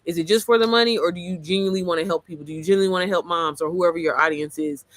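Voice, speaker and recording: A young adult woman coach with a low, manly-sounding voice chats loosely and swears freely as she clicks through a course on screen. She keeps calling the listener girl and baby. The close, dry recording has almost no room sound. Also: racing, no echo. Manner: chatty, profane, calls the viewer girl and baby. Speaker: online business coach. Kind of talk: casual screen-share walkthrough.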